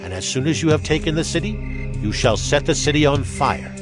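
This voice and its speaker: An earnest, commanding leader of ancient Israel acted by a man, with a fairly high male voice. He speaks with authority in a scripture audio drama, over clear background music. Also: lively intonation, brisk, lightly acted.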